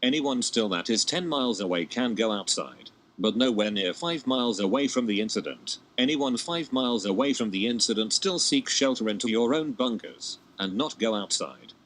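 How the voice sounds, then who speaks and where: A man's voice: medium pitch, expressive, normal speed, hardly any pauses, fairly bright tone, poor audio. A man reading scripted emergency broadcast warnings as an announcer, formal and impersonal.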